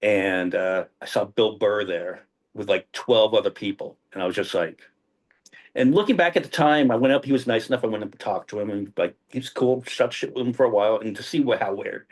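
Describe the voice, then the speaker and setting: A man's voice: fairly low pitch, fairly quick. A man hosting a podcast, talking in a laid-back, reminiscing way. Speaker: podcast host. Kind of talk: casual podcast chat.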